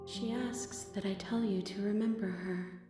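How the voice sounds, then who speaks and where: An actress playing a vast, cryptic otherworldly female entity, solemn, serene and measured. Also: medium pitch, steady tone, close to an everyday voice. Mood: solemn, mysterious. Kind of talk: visual novel character acting. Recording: echoing reverb, music bed.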